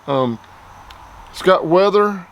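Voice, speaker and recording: Folksy man reviewing gear, higher-pitched voice, background noise. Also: expressive, slow, fairly bright tone. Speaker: product reviewer. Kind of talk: casual hands-on radio review.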